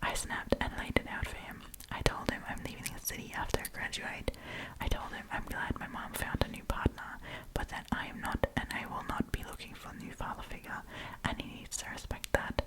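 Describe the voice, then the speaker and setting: A woman hosting an ASMR storytime in a breathy, unvoiced whisper, reading online stories aloud with chatty, informal asides, close to the microphone. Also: fairly quick, poor audio. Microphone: close propped-up microphone.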